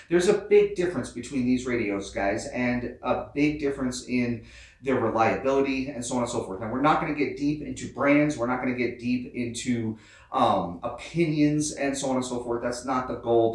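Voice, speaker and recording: American man, very echoey and distant. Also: medium pitch. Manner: informal, addresses viewers as guys. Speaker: host of a Jeep off-road channel. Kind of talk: explainer vlog to camera.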